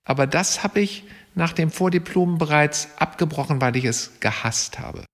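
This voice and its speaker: A man in his sixties, an estate owner and former journalist, candidly telling his life story in a relaxed interview. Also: a little high, moderate pace, in a large hall. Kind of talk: conversational interview answers.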